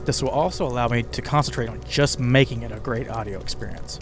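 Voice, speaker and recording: A man's voice: medium pitch, fast talker. Man narrating a podcast over music. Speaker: podcast host and writer. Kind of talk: scripted podcast narration, conversational. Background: ambient music bed with crackling fire sounds.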